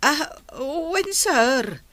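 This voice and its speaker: A woman's voice: high-pitched, sing-song, very slow, a bit bright, no echo, heavily acted. A woman acting in a radio drama as a humble elderly guardian, talking respectfully and hesitantly.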